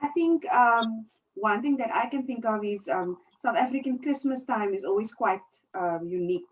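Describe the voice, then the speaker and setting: A woman's voice: on the high side, moderate pace. Woman, panel guest, thoughtful, distant from mic, faint background noise.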